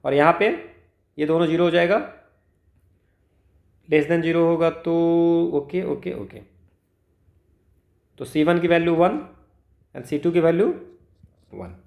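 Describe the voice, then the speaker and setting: Slow-paced Indian man teaching, echoey room. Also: a little high, vocal fry, darkish tone. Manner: code-switches between two languages, asks himself questions, frequent check-words. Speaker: maths teacher for exam preparation. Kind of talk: informal lesson, working through problems step by step. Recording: roomy, echoing sound.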